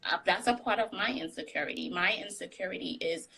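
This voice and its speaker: A woman's voice: fairly low pitch, fairly quick, vocal fry, pushed and bright, husky, low-quality recording. A woman guest speaking candidly in a casual interview, laughing often, with what she calls a tiny voice, on a live video call. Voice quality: describes her own voice as tiny. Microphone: phone on a live video call.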